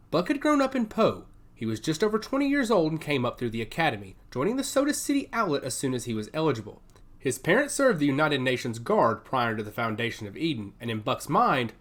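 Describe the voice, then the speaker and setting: Male audiobook narrator for sci-fi drama. Mid-pitched, highly animated intonation, measured and expressive delivery. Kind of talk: scripted dramatic narration of science fiction.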